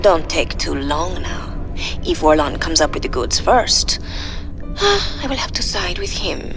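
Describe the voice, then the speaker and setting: A woman's voice: sits low, big pitch swings, slow pace, music in the background, full character voice. An actress playing a flirtatious, sly mercenary boss in a video game, coy and theatrical in her delivery. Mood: teasing.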